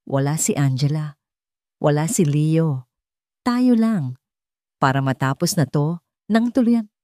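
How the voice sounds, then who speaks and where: A woman's voice: deep voice, expressive, takes it slowly, mellow, no room sound, clean studio sound. A woman voicing a wronged male CEO, cold and calculating, in an audio story. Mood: calm, scheming.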